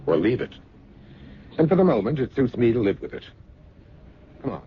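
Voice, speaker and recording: An actor playing a suave, candid escort in an old-time radio drama, smooth-talking, wry and breezy. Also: male voice, mid-range voice, big pitch swings, moderate pace, natural voice. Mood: amused, reassuring. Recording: muffled, noisy vintage radio recording.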